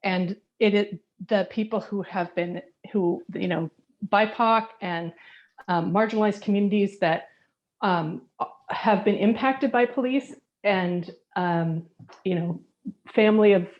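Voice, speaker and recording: A woman resident speaking earnestly and haltingly, with many fillers, in a public comment at a community forum over a video call. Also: mid-range voice. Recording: dull lo-fi call audio.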